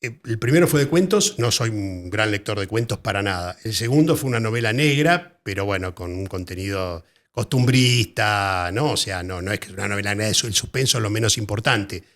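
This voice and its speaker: A man with an Argentine Spanish accent, a writer being interviewed, talking in a thoughtful, halting way and often repeating words as he searches for phrasing. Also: lowish voice, a bit bright. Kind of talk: casual interview conversation.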